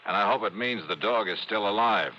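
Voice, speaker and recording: A man's voice: fairly low pitch, fairly quick, close to an everyday voice. An actor playing a sardonic, confident American insurance investigator in a hardboiled golden age radio drama, probing as he talks. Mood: sardonic, pressing. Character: wry hardboiled male freelance insurance investigator. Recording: muffled, noisy old radio recording.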